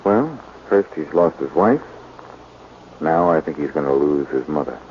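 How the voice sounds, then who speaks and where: An actor playing a wry, self-assured amateur detective in a 1940s radio drama, talking briskly and needlingly. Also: male voice, extremely low pitch, expressive. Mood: sober. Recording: dull, noisy old 1940s radio broadcast.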